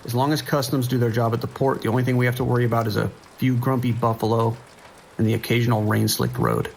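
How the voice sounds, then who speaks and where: A man playing a calm, wry sheriff's dispatcher with a dry, reassuring manner in an audio drama. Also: mid-range voice, quick pace, creaky, a little hiss or hum, natural voice. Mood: wry, relaxed. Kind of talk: naturalistic audio drama acting.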